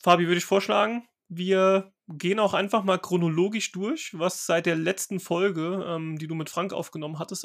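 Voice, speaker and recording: A young man hosts a casual podcast, his voice sitting slightly higher than most men's. He talks in an easygoing way, thinking aloud and leaning on fillers as he goes. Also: vocal fry, fairly bright tone. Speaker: podcast host.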